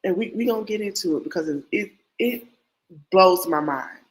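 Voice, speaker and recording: A woman's voice: on the low side, lively intonation, moderate pace, poor audio. A woman hosting a casual true-crime podcast, nasal and congested from a cold. She rambles, repeats herself and talks straight to her viewers. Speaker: podcast host.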